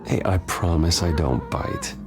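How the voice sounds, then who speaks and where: A man playing a brooding, earnest, injured billionaire vigilante in a video game, speaking with subdued, gruff sincerity. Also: sits low, huge pitch swings, measured pace, loud music behind the voice, heavily acted. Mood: gentle. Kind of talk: naturalistic video game acting.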